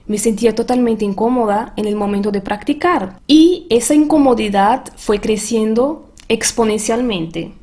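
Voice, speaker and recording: A woman's voice: a little high, a little mellow. Brazilian woman coaching in Spanish. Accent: Brazilian Portuguese. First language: Portuguese. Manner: earnest, reflective first-person storytelling. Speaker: language coach. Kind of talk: online master class talk.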